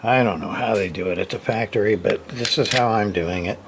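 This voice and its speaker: A folksy man talks through a hands-on repair in a casual, unscripted way. He halts and thinks aloud as he demonstrates, with some steady background noise behind his voice. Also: on the low side, fairly quick, creaky.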